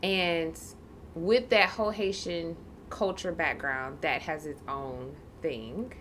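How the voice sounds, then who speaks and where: A woman's voice: sits low, slow pace, fairly bright tone, a little hiss or hum. A woman, hosting a casual conversational podcast interview. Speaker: podcast host, licensed counselor.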